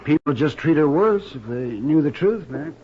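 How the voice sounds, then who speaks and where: An American man playing a crusty, opinionated town doctor in a 1950s radio western, with a gravelly voice and an emphatic, knowing manner. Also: on the high side, animated, moderate pace, close to an everyday voice. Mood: earnest, knowing. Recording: noisy, muffled vintage radio recording.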